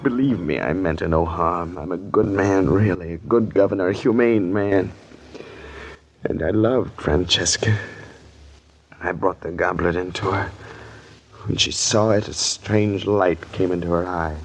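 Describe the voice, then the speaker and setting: Low-voiced tormented governor, old radio. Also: male voice, a little slow, husky, heavily acted. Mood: brooding, haunted. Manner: melodramatic, anguished. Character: tormented, obsessed male island governor, brooding and frantic. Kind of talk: 1940s radio acting. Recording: noisy vintage 1940s radio recording.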